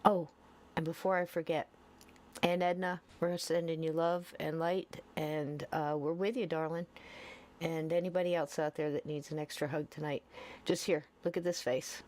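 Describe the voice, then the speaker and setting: A woman's voice: low voice, level tone, normal speed, a little mellow. A woman hosting a podcast, chatting in a rambling, casual way before playing an old episode. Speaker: podcast host. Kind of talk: casual chat.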